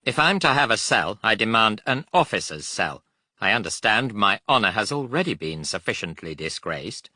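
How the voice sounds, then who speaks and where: A man's voice: on the low side, sing-song, normal speed, sharp tone, dry recording, pitched above the performer's own voice, heavily acted. A man voicing a defiant, demanding captive British air force officer, speaking in an insistent, indignant, clipped way.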